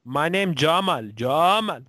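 A man voicing a pleading immigrant cow seller with an Indian accent and halting broken English on a prank call. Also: a little high, expressive, bright, forceful tone, no echo, clean studio sound, full character voice. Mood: earnest, halting. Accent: put-on Indian accent.

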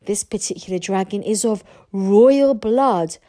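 A woman's voice: medium pitch, unhurried, dark tone, lightly acted. A woman voicing an earnest boy who explains things with solemn expert airs, in a storybook reading. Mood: solemn, carried away.

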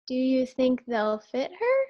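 A woman's voice: high voice, very animated, measured pace, lo-fi sound. A woman acting a naive, wry, loyal housemaid in a 1940s-style radio sitcom.

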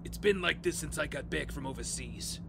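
Man acting a high-strung, paranoid veteran in anguish. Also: creaky, noisy background. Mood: anguished, bitter. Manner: agitated, halting, ranting. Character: paranoid, anguished war veteran holding children hostage. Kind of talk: audio drama acting.

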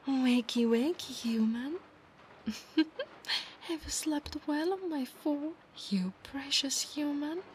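An Italian woman with an Italian accent voicing a possessive, playful female centaur knight in an ASMR roleplay, doting on her human in soft murmurs that often slip into whispers. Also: high-pitched, expressive, slow pace, slight background noise. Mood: playful, encouraging. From Italy.

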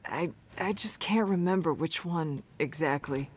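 A woman's voice: low-pitched, a little slow, a little hiss or hum. An actress playing a brash, hard-drinking young woman in a video game, wisecracking and swearing freely. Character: brash young woman brawler, foul-mouthed and boozy.

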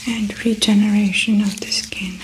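A young woman whispering softly in an ASMR medical roleplay, calmly narrating a clinical exam as she works. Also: mid-range voice, normal speed, a little hiss or hum, low-quality recording. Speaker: ASMR creator playing a doctor. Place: small indoor studio set.

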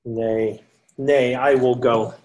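Man playing slow, bold, devout friar. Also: medium pitch, little pitch movement, a little mellow, close to an everyday voice. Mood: determined. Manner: declamatory, archaic storybook speech. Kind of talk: amateur readers theater acting. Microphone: computer mic on a video call.

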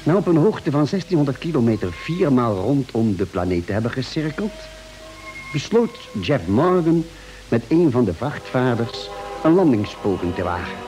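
Male actor, articulate doctor-narrator for a vintage sci-fi radio serial, lively pitch, medium register. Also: normal speed, music in the background, lightly acted, poor audio. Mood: measured, suspenseful. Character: calm ship's doctor and narrator of a space expedition. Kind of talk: 1950s radio drama acting and narration.

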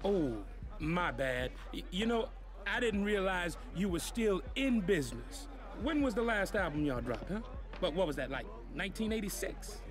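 An actor playing a cocky rival record label boss in a video game cutscene, mocking and boastful in street slang. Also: male voice, high voice, very animated, normal speed. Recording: game audio with loud hip hop music.

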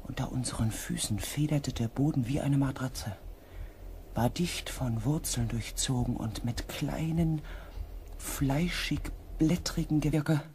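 An actor playing a reflective expedition member, narrating a sci-fi radio drama in a deliberate, descriptive way. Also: male voice, mid-range voice, darkish tone, a little hiss or hum, natural voice. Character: reflective male expedition member telling the story. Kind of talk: scripted first-person narration.

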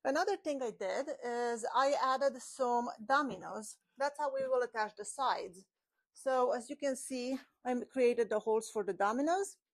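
A woman's voice: a little high, moderate pace. Chatty woman teaching in a workshop. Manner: chatty, explains while working with her hands. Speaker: woodworking channel host. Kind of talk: step-by-step workshop tutorial. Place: home woodworking shop with open garage door. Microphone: small clip-on mic on her shirt.

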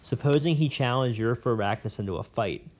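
A man's voice: medium pitch, brisk, close to an everyday voice. A man voicing a young girl in an audiobook, earnest and questioning. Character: young girl, curious and determined.

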